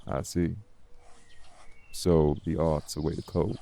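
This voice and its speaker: A man playing a guarded, world-weary old vampire in an audio roleplay, speaking in an unhurried, wry, folksy drawl. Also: little pitch movement, warm tone, exaggerated voice. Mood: thoughtful, probing. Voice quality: low, gravelly. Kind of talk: slow, intimate audio roleplay acting.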